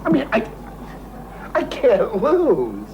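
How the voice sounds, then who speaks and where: An actor playing a boastful, sentimental and tipsy American gambler in a television drama, rambling and repeating himself with emphasis. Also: male voice, extremely high pitch, wildly swooping pitch, takes it slowly, highs cut off, full character voice. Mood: giddy, insistent. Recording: noisy old television drama soundtrack.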